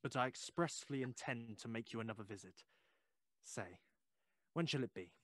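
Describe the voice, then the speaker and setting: A male actor as an inquisitive Victorian gentleman in an audio drama. He has a mid-pitched voice, recorded close and dry, and talks with formal, polite curiosity. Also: normal speed, no room sound, close to an everyday voice. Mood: courteous, inquisitive. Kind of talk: audio play acting.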